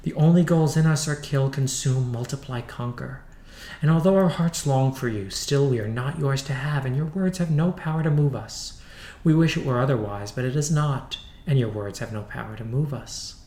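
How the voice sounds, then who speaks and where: A man's voice: medium pitch, normal speed, dark tone, echoey room, lightly acted. A man voicing sorrowful swamp creatures in a storybook reading, mournful and formal, almost chanting. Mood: wistful, resigned. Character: sorrowful swamp creatures, loyal children of cancer.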